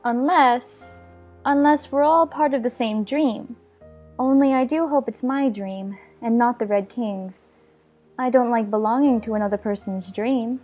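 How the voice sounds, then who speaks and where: Woman playing a high-voiced, curious, polite girl. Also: a little slow, soft background music. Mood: puzzled, complaining. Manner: musing, gentle. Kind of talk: dramatized storybook audiobook.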